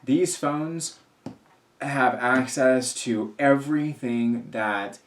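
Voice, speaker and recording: A man's voice: medium pitch, extremely slow. Rambling thirty-something man in a roomy room. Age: 30s. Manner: rambling, filler-heavy, repeats himself. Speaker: vlogger. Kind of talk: casual talk to camera. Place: indoors at home work space. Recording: roomy echo, faint music behind.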